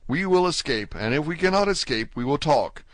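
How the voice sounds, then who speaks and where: A man's voice: fairly high pitch, animated, normal speed, no echo, pitched above the performer's own voice, lightly acted. A man voicing Dantès, a desperate young prisoner. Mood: pleading. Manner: fervent, imploring. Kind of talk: audiobook reading.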